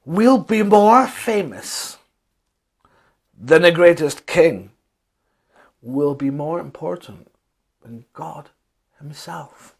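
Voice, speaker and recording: A thin, grinning storybook builder full of grand boasts, voiced by a man. He speaks slowly and emphatically, lifting and swooping his slightly husky voice. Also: a little high, lively intonation, full character voice. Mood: boastful.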